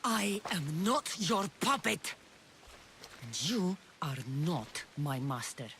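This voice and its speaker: A fierce, bitter female warrior in a video game drama. Her delivery is scornful and intense, with a sharp tone and lively swings in pitch. There is faint noise behind her. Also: takes it slowly, full character voice. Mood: defiant.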